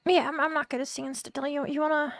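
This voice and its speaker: A woman with a high, light voice who stammers and restarts often in halting bursts. She sounds dry and close, coming through voice chat. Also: little pitch movement, dry recording. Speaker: fellow roleplay player. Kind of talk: in-character roleplay chat.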